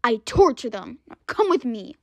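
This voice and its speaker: Menacing villain father for a toy-app drama, cowardly underneath, very animated, dry sound female performer. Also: a little high, normal speed, no room sound, highs cut off. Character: menacing male kidnapper father, cowardly when caught. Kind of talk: amateur toy-app roleplay voice acting.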